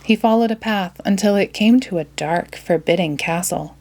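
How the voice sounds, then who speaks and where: Female storyteller, medium pitch, expressive children's read-aloud narration. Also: normal speed.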